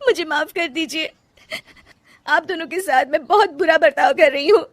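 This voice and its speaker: A woman's voice: high voice, normal speed, dry recording, voice pushed up, natural voice. A woman voicing an unhappy young daughter-in-law in a cartoon story, plaintive and curt with frustration. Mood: tearful, distraught. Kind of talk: Hindi cartoon moral story acting.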